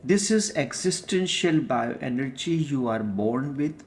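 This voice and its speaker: A man giving a guided meditation discourse as a spiritual teacher, speaking solemnly in deliberate, halting phrases with pauses. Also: medium pitch, lively intonation, a little hiss or hum.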